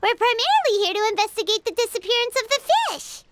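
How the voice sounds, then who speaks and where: A woman voicing a tiny, excitable, chatty fairy girl with a squeaky childlike voice in a video game cutscene. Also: fast, noisy background. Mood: puzzled, chatty. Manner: excitable, exclaiming, speaks of herself in third person.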